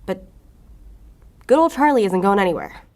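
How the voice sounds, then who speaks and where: An actress playing a driven, short-tempered special agent in an audio drama, blunt and confrontational. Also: female voice, mid-range voice, big pitch swings, moderate pace, darkish tone. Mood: pressing, accusing. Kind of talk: audio fiction acting.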